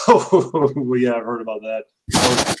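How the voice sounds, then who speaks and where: A man's voice: medium pitch, slow pace, heavy background noise. A young man hosting a casual chat, rambling with many fillers and laughing often. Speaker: host.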